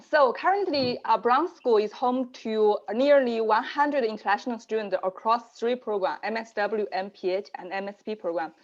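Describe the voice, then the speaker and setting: Female program manager, fairly high voice, measured delivery with fillers, slightly dull video-call sound. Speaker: global programs manager hosting an info session. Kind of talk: online info session presentation.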